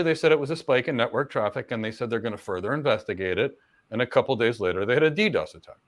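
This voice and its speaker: A middle-aged man, a career coach, answering viewer questions in a casual live Q&A, talking confidently in long runs with repeated words and quick asides. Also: mid-range voice, fairly bright tone. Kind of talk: live Q&A, casual explanatory talk.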